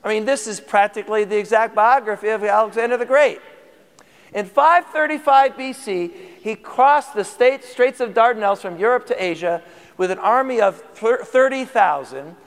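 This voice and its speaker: A man preaches a sermon with a fairly high voice, his throat tired from singing. He talks conversationally and asks the congregation questions. His voice carries a long reverb from a church hall. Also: moderate pace, clear, resonant tone. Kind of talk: sermon teaching.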